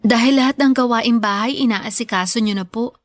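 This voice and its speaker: A woman's voice: on the high side, fairly quick, close to an everyday voice. A woman playing a hardworking, devoted student daughter in a Filipino radio drama, polite, respectful and earnest with her mother. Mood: reassuring.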